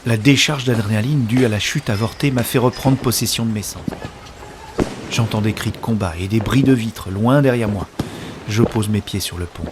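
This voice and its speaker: A man's voice: lowish voice, normal speed, noisy background, natural voice. A man playing an anxious man on the run, narrating an audio drama in the present tense in short, urgent phrases. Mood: exhausted, determined. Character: anxious man racing through a riot to reach a woman.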